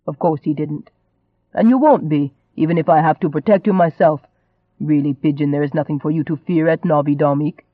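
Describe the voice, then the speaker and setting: A man's voice: fairly high pitch, brisk, dry recording, phone-quality sound, natural voice. A man voicing a charming young nobleman in an audiobook, a teasing and cajoling rogue who stays unruffled. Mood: soothing.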